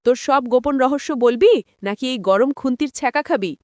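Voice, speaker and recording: A woman voicing a cruel, bossy village mother in a cartoon, sharp-tongued and scolding. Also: sits high, brisk, no room sound, close to an everyday voice. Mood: scolding, threatening. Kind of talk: cartoon voice acting.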